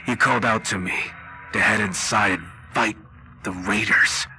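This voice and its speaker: A man voicing a weary, haunted war-veteran survivor in a halting, grave, half-whispered game roleplay monologue. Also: lowish voice, fairly even intonation, creaky, pushed and bright, soft background music, voice pushed down, full character voice. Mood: grim, tense. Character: haunted, weary male war-veteran survivor recording his account on tape. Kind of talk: slow dramatic post-apocalyptic horror game roleplay monologue.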